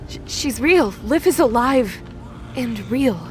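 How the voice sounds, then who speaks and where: A woman's voice: fairly high pitch, animated, takes it slowly. A woman playing a stern, determined young warrior goddess in an audio drama, blunt and exasperated. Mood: shaken, resolved. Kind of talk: audio drama character acting.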